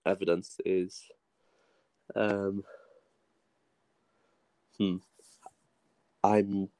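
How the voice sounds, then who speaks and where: A man's voice: low voice, little pitch movement, very slow, dark tone, smooth, no echo. A young man with a Welsh accent, a guest chatting casually on a podcast, self-deprecating and hesitant, often restarting his sentences. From Wales.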